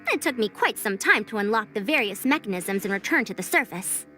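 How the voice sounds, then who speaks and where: Game scholar with elder airs, formal, calls people youngster, bright and animated. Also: female voice, medium pitch, fairly quick. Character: youthful female scholar with old-fashioned elder airs. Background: soft game music.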